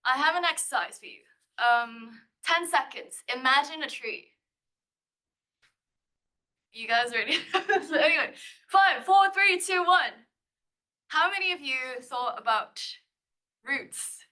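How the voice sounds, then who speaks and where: A woman's voice: high-pitched, expressive, takes it slowly, long pauses, bright, forceful tone. A young woman from Thailand giving a live science-writing presentation, nervous and giggly, with a playful manner. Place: lecture room.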